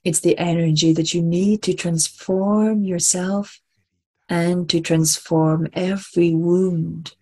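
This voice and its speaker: Very slow, breathy woman guiding meditation. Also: lowish voice, steady tone, dark tone. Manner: soothing, deliberate, repetitive, with long pauses. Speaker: guided meditation guide. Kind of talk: slow guided relaxation meditation.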